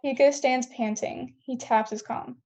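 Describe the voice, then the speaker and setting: A woman's voice: sits high, steady tone, measured pace, darkish tone. A woman reading scene headings and stage directions as narrator of a table read, on a dull lo-fi recording.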